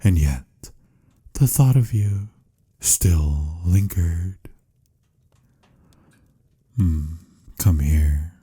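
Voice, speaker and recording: A deep, very husky male vampire, acted by a man in a romantic audio roleplay. He speaks slowly and intimately, with a soft, mellow tone that slips into whispers. His manner is tender and teasing, full of old-fashioned endearment. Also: exaggerated voice. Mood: tender, yearning. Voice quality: husky, breathy, slipping into whispers. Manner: intimate, soothing, slightly formal endearments.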